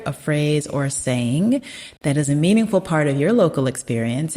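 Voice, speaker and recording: A woman's voice: deep voice, a little mellow. A woman hosting a podcast, interviewing her guest in an eager, affirming way. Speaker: podcast host. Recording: long reverb.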